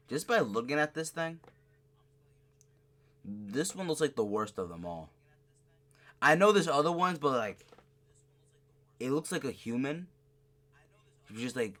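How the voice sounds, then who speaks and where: A man doing live reaction commentary in casual slang, excitable and prone to repeating his exclamations. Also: medium pitch. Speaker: streamer reacting to a horror video.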